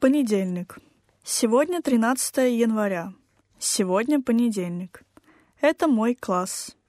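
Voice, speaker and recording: Woman reading a language lesson slowly. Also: a little high, expressive, darkish tone, dry recording, clean studio sound. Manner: slow, careful, clearly enunciated. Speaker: language course reader. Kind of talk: textbook lesson read aloud.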